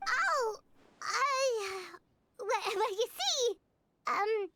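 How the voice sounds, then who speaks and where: A woman's voice: extremely high pitch, expressive, extremely slow, sharp tone, a little hiss or hum, exaggerated voice. A woman voicing a small, eager, naive fairy companion in an anime game, with an exclamatory, easily flustered manner. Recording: game music behind the voice.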